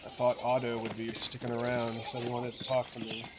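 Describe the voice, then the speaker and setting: A man's voice: mid-range voice, completely flat delivery, fairly quick, heavy background noise. A man giving casual commentary as he plays through a video game. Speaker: let's play host.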